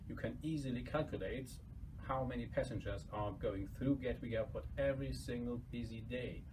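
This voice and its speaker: A man in his thirties giving an explanatory introduction to schoolchildren as a presenter. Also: medium pitch. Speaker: air traffic control manager presenting to schools. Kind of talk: scripted-sounding introduction to an educational broadcast. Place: small indoor office room. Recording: distant mic, clear background noise.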